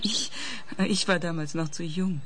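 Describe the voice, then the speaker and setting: Actress as young interviewer, music behind. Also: female voice, fairly low pitch, a little slow, natural voice. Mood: prompting. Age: young adult. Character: young female interviewer, prompting and persistent. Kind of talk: interview questioning in radio drama.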